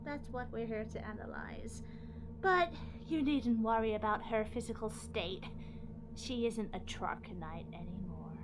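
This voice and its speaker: Woman acting a gloating evil scientist lady, highish voice, takes her sweet time, smug and cold, noisy audio drama track. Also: unhurried.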